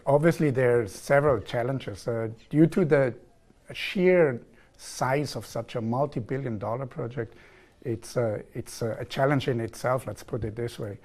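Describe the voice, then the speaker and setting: A man's voice: medium pitch, a little mellow. A man in his forties from southern Germany with a German accent, explaining his work carefully as a guest in a TV studio interview. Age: 40s. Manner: measured, explanatory, repeats set phrases.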